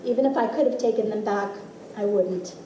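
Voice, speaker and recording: A middle-aged woman reading a personal essay aloud as expressive, deliberate storytelling with dramatic pauses. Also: medium pitch, muffled recording. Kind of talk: literary reading of a personal essay to a live audience. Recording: roomy, distant mic with faint noise.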